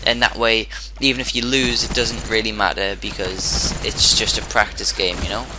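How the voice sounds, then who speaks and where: A man with a hoarse, sore-throated voice giving casual commentary over his own gameplay, rambling and often restarting his sentences. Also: lowish voice, flat delivery, normal speed, background noise. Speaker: gaming commentator.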